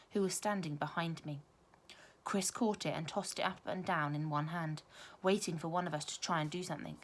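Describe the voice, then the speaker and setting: A woman with a fairly low voice reads a children's story aloud. She is expressive and teacherly, placing careful emphasis on words and pausing for drama. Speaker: primary school teacher reading aloud.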